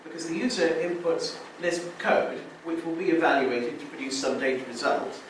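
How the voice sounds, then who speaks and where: A man's voice: a little high, moderate pace. A man giving a technical conference talk, explaining step by step, with frequent restarts and fillers. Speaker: conference speaker. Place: lecture room. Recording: very echoey hall, distant mic, faint noise.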